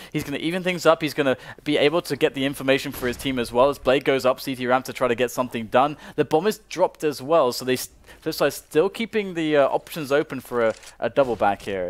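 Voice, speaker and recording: A man casting a competitive video game match from a booth, calling the action quickly and rising sharply at big plays. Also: a little high. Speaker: esports caster. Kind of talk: play-by-play commentary.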